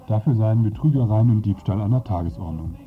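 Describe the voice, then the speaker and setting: A man's voice: fairly low pitch, brisk. A man reading a scripted satirical mock report as a radio presenter. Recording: dull lo-fi radio recording over music. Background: music.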